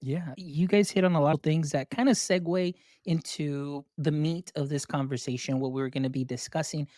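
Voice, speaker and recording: A man's voice: fairly high pitch, steady tone, moderate pace, a little mellow, dry recording, clean studio sound. A man hosting a podcast interview, effusive and enthusiastic as he introduces his guests. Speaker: podcast host.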